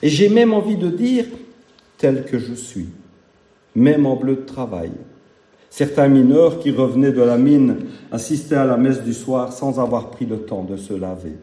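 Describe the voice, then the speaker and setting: A man preaching to his congregation, his voice deeply dark and mellow. He mixes homely jokes with earnest repetition, and the sound carries an echo from the room. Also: expressive, unhurried. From Belgium. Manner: folksy, humorous, emphatic repetition. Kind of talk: sermon.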